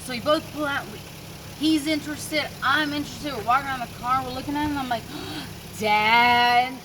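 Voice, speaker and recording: A woman casually telling a story in an animated way, acting out dialogue, swearing and laughing as she talks. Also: sits high, normal speed. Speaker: guest telling a personal story. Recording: noisy car, engine and road noise.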